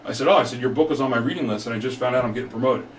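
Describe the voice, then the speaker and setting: A man's voice: mid-range voice, very fast. A man chatting casually and telling stories as a firefighter instructor guesting on a podcast. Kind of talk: casual storytelling chat. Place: studio room. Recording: roomy, distant mic, faint background noise.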